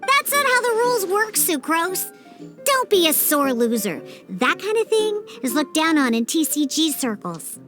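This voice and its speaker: Very high, feisty young cat-girl. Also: big pitch swings, moderate pace, fairly bright tone, full character voice. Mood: scolding. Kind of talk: English game dub acting. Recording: studio recording over background music. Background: game background music.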